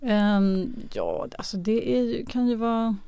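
A woman's voice: fairly high pitch, animated, vocal fry, mellow, clean tone, dry recording. A woman answering interview questions hesitantly, searching for words. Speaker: guest, radio drama artistic director.

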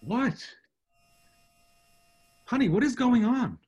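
A man's voice: very high-pitched, sing-song, slow, a little mellow, breathy edge, dull audio, exaggerated voice. A man playing an inattentive, casual husband in his thirties in a radio drama. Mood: puzzled, defensive. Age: 30s.

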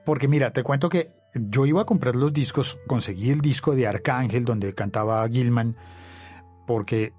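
A man's voice: medium pitch, normal speed, a little mellow, soft background music. A man with a Bogotá Colombian accent hosting a conversational podcast interview, telling things in a reflective, storytelling way. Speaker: podcast host.

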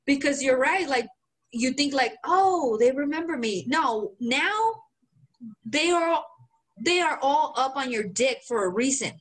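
High-voiced woman cohost, cursing a lot, fired up chat. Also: a little slow, rough recording. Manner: swears a lot, animated and emphatic. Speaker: podcast co-host. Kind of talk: casual chat.

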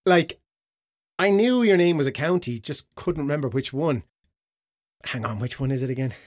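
A man's voice: a little high, normal speed, a little mellow, no echo, lightly acted. A close, dry recording of a young Irish man , played by a male actor, the worse for drink. He rambles and hesitates in loose, slangy talk, in a naturalistic radio drama style. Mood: drunk, queasy. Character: drunk, hungover young man after a one-night stand. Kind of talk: naturalistic audio drama acting.

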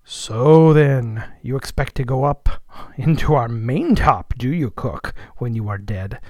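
A man's voice: mid-range voice, lively intonation, slow, dark tone, dry recording. A man voicing a bossy ship's officer who teases and commands with mock solemnity in an audiobook. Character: bossy, mocking ship's officer, jauntily interrogating. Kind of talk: audiobook character voice.

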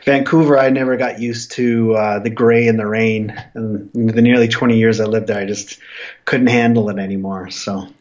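A man's voice: lowish voice, normal speed, lo-fi sound. A man in his forties from Alberta, Canada, a comic artist as guest, talking casually with fillers and restarts, stuffy from a flu. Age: 40s. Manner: relaxed, rambling with fillers and restarts. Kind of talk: casual interview chat.

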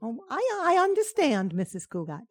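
A woman acts out a household maid in a radio sitcom, guileless and a little cheeky. Her voice sits fairly high and moves with lots of lilt, delivering comic lines innocently in a dry sound. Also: wildly swooping pitch, normal speed, slightly rough, no echo, heavily acted. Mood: puzzled, guileless. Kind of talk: old-time radio sitcom acting.

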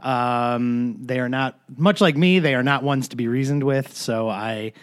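A man's voice: mid-range voice, measured pace, smooth. A man co-hosting a casual podcast, trading dry wisecracks. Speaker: podcast co-host. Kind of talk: casual banter.

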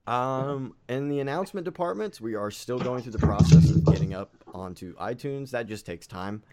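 A man hosting and narrating a tabletop game as dungeon master, slightly raspy from fighting a cold, casual with many fillers. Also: mid-range voice, lively intonation, normal speed, a crowd in the background. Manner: casual, with frequent fillers and restarts.

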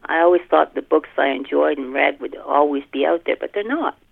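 A middle-aged woman with a creaky, gravelly voice talks over a narrow telephone line. She is a casual, expressive interview guest who repeats phrases for emphasis. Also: low-pitched, brisk, no room sound. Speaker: author, podcast guest. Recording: muffled narrow phone line.

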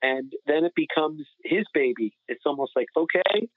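A man's voice: a little high, expressive, normal speed, no room sound. A man co-hosting a sports podcast, talking casually and giving opinions in a conversational way. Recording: thin phone-like audio.

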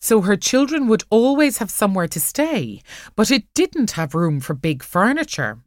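A woman reading fiction aloud as an audiobook narrator, expressive and measured in her storytelling. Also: medium pitch, no room sound, studio quality.

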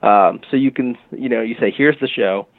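Guest interviewee: lowish gravelly male voice, casual explainer, dry phone-line sound. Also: moderate pace, dry recording. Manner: relaxed, frequent fillers like "you know" and "um". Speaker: guest, music marketing tech company founder. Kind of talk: conversational interview, explaining a product.

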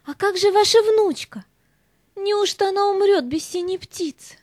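A woman's voice: very high-pitched, normal speed, a little mellow, dry recording, studio quality. A woman playing an eager, tender little girl in an audio fairy-tale play, wide-eyed and emphatic. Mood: eager, earnest.

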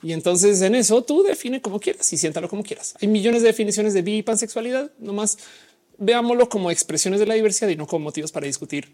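A woman's voice: mid-range voice, fast, mellow. A woman with a mixed Mexican and Colombian Spanish accent hosting a livestream, chatting casually as she reads and answers audience comments. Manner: casual, chatty, explains at length with slang. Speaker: livestream host. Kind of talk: live chat reading audience comments.

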